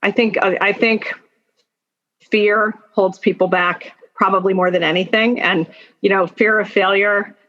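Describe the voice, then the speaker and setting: The recording is a little dull and lo-fi. A woman with a medium-pitched voice answers as a podcast guest, informal and conversational. She thinks aloud, halting and branching into asides as she goes. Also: fairly even intonation. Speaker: podcast guest, attorney and executive. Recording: dull lo-fi sound.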